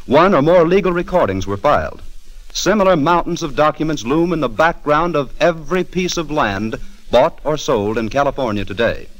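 A man's voice: medium pitch, fairly bright tone, rough recording. A man announcing formally from a script in emphatic 1940s radio style. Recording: 1940s radio broadcast recording.

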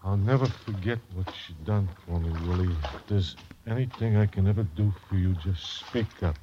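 A man's voice: low voice, normal speed, highs cut off, close to an everyday voice. A man playing a delirious, good-natured wounded sailor in a 1940s radio drama, rambling and stammering. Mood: earnest, confused. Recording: noisy, band-limited old radio recording.

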